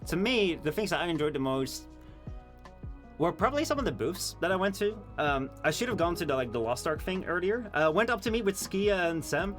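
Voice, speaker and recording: A man's voice: high voice, lively intonation, a little fast, fairly bright tone, faint music behind. A tired young man, a Dutch native speaker, chatting casually and rambling with his live-stream chat, full of fillers and restarts. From Netherlands. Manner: rambling, many fillers and restarts, laughs often.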